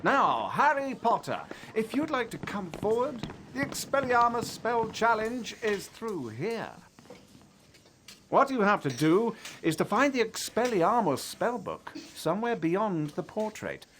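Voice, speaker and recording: A man's voice: high-pitched, sing-song, measured pace, a bit bright, slight background noise, full character voice. A man playing a vain, showy wizard professor in a video game, with an English accent and a pompous, theatrical delivery. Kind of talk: video game cutscene acting.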